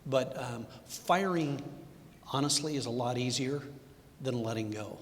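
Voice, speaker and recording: Halting middle-aged man in an echoey hall. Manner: halting, many restarts and fillers. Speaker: bank executive guest. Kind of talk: candid personal reflection on a panel. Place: event hall. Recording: echoey hall, faint rumble.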